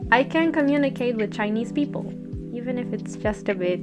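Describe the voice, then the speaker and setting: A woman's voice: a little high, lively intonation, fairly quick. Young woman narrating over music. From Peru. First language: Spanish. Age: young adult. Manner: earnest, gently self-mocking, with small laughs. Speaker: storyteller. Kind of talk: scripted storytime narration. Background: music bed.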